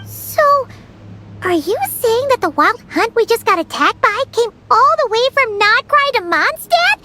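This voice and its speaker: An excitable, nosy little companion creature in a game, who speaks of herself in the third person. Her voice sits extremely high with a sharp, bright edge. The pitch swoops wildly from one word to the next. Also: a little slow, a little hiss or hum, exaggerated voice. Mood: puzzled. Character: tiny floating female companion, excitable and nosy. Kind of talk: video game voice acting.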